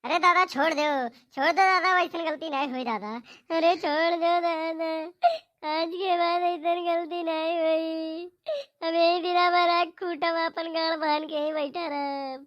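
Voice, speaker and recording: Comic cartoon farm son, played by a woman: high-pitched, sharp, hapless, whining, Awadhi accent. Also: fairly even intonation, measured pace, muffled recording. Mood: frightened begging. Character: hapless young farm son, pleading and complaining. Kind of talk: amateur comic cartoon dialogue.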